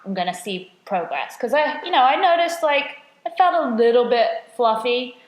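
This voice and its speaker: A woman's voice: fairly high pitch, expressive, normal speed. A woman co-hosting a casual podcast chat as a nutrition coach, chatty and self-deprecating, often laughing at herself. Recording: roomy echo.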